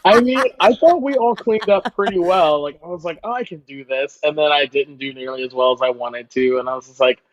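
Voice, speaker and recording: Higher-voiced man, animated casual storyteller. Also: brisk, hardly any pauses, muffled recording, a crowd in the background. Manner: animated, acts out quoted remarks. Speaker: chef and podcast guest.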